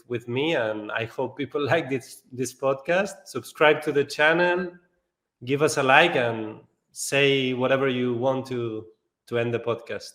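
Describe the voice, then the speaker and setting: A man's voice: medium pitch, pushed and bright. A man from northern Spain, a native Spanish speaker with a Spanish accent, chatting casually as a podcast host and often repeating short affirmations. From Basque Country, northern Spain. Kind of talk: casual interview chat.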